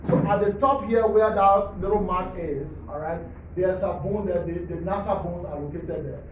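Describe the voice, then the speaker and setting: A man's voice: high voice, normal speed, slight background noise. A man, a forensic pathologist at a press briefing, walking the press through slides like a teacher and checking "all right?" after each point. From Liberia. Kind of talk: explaining autopsy slides to the press. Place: government press briefing hall. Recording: distant mic, echoey muffled hall.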